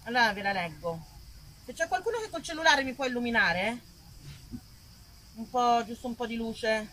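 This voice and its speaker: A woman performing a live theatrical monologue, expressive and dramatic, speaking straight to her listeners as a storyteller. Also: a little high, normal speed, a little hiss or hum, poor audio. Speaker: stage storyteller, actress. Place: live performance before a small audience.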